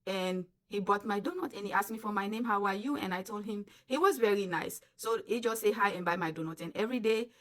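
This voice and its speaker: Young Sierra Leonean woman, casual vlogger, emphatic and chatty, mid-pitched voice. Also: fast talker, hardly any pauses. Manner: chatty, emphatic, addresses viewers as guys. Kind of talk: casual storytelling to camera.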